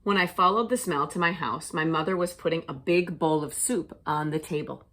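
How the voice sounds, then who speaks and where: A woman teacher reading a children's storybook aloud with deliberate, expressive phrasing for young listeners. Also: deep voice.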